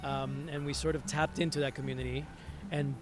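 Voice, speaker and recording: A man from Venezuela, a native Spanish speaker, telling his story as an interview guest in a reflective, halting, conversational way, repeating words as he searches. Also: mid-range voice, flat delivery. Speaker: interview guest, musician and hospitality entrepreneur. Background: music.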